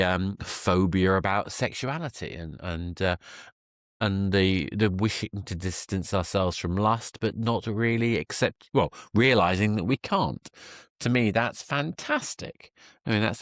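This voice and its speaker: Deep-voiced man hosting a podcast. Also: animated, measured pace, no echo, studio quality. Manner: enthusiastic, affirming. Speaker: podcast host interviewing a guest. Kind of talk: conversational podcast interview.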